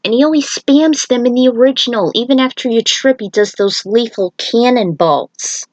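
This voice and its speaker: A woman's voice: a little high, normal speed, darkish tone, no room sound. A woman reading her own fan fiction aloud, breaking into chatty, casual asides about it. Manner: chatty, self-commenting asides.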